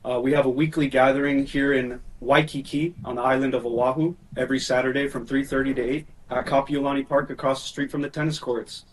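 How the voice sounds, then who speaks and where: Calm, polite male guest from Hawaii. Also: medium pitch, brisk, few pauses, low-quality recording. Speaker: guest, church founder. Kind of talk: introducing himself on a live show.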